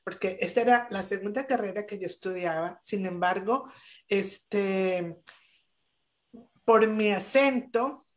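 Woman, podcast guest, earnest, emphatic, hesitant fillers, video call, mid pitch. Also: slow pace. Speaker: guest speaker. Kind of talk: motivational talk on a podcast panel.

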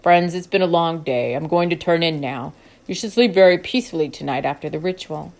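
Lady doing a deep calm older guy, healer type, gentle and slow. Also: lightly acted. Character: older man, calm, wise ritual healer.

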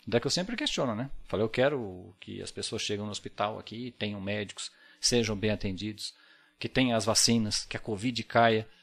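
A man's voice: on the low side, a little fast, creaky, a bit bright. A Brazilian man speaking Portuguese with a Brazilian accent, a podcast guest talking earnestly and reflectively, often ending thoughts with a tag question. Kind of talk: casual podcast conversation.

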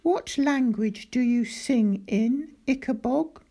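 Woman playing a small polite girl, high voice, asks lots of questions. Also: slow, dark tone. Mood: curious. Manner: inquisitive, cautious. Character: curious polite young girl.

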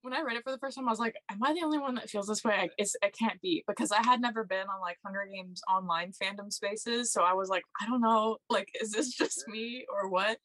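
A young woman chats on a book podcast with a wry, conversational delivery. Her voice is mid-pitched, and the recording is dry and free of echo. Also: brisk, hardly any pauses, fairly bright tone. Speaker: podcast co-host. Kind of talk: casual book discussion chat.

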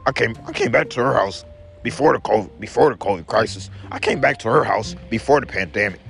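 Gravelly young man, rambling and repeating himself. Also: medium pitch, expressive, fast, a bit bright, soft background music. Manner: repeats each phrase back in second person. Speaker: vlogger. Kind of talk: rambling daily vlog monologue.

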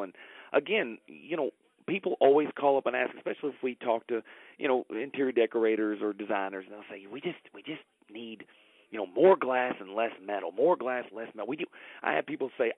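A man speaks over a narrow, dry telephone line in an easy interview style. He tells stories in a folksy, enthusiastic way, like a business founder recounting how things came about, and his pitch sits in an ordinary middle range. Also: slightly rough, no echo. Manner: folksy, enthusiastic storytelling, quoting past conversations. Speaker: company founder and president being interviewed. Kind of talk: casual interview chat, telling anecdotes. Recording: thin, dry phone-line sound.

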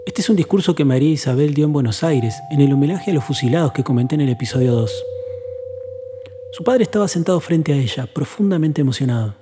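Male narrator, slightly high voice, solemn reflective read, reverberant sound with a music bed. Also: lively intonation, noisy background. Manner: measured, solemn and lyrical. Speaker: podcast host and narrator.

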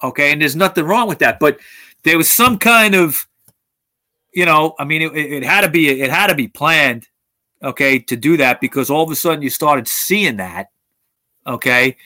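A man's voice: fairly high pitch, expressive, normal speed, sharp tone, dry recording. A man hosting a talk show, speaking bluntly with frequent swearing and restarts. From United States. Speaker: podcast host. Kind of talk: opinionated casual talk.

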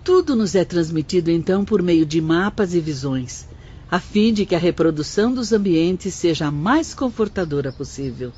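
An actress playing a composed spirit-world instructor in a radio drama, explaining calmly and didactically. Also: female voice, fairly low pitch, moderate pace, slightly rough. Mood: calm instructing. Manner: calm, didactic. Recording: dull recording with faint music.

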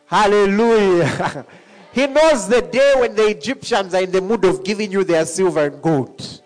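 A middle-aged man preaching a sermon. He talks in an animated way and laughs often between points. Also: high voice, unhurried, faint music behind, rough recording. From Zambia.

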